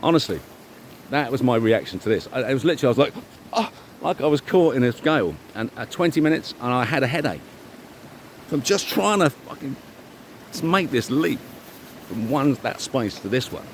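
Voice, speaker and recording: A middle-aged man giving a rambling, informal monologue, speaking emphatically and self-assuredly in halting, repeated phrases. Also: mid-range voice, lively intonation, slight background noise. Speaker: vlogger.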